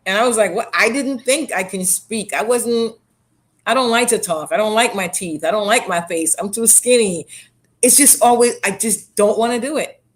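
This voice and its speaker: A woman's voice: mid-range voice, normal speed. A Trinidadian woman speaks in a mid-range voice, blunt and emphatic. She is an author and motivational speaker in a candid interview, driving her points home by repeating them. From Trinidad and Tobago.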